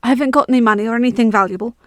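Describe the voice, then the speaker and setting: A woman's voice: fairly high pitch, rapid-fire, no room sound, studio quality, voice pushed up, natural voice. Woman doing a frightened, tearful youth. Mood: pleading. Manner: shaky, tearful begging. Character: desperate starving young man, pleading.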